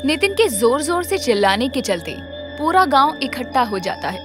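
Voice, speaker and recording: Female storyteller: high pitch, dramatic scripted narration, music running behind. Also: very animated, moderate pace.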